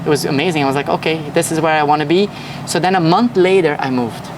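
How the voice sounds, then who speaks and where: A man's voice: on the high side, fast talker, distant mic, slight background noise, rough recording. A young man from Belgium, a podcast guest, telling his story with enthusiasm in casual chat. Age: young adult. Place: at a hotel.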